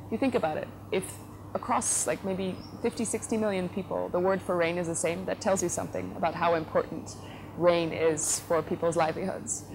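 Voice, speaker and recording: Low, even-toned woman with many fillers. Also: a little fast. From Netherlands. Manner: thoughtful, frequent fillers and self-corrections. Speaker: company CEO, interview guest. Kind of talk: relaxed conversational interview answers. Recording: noticeable background noise.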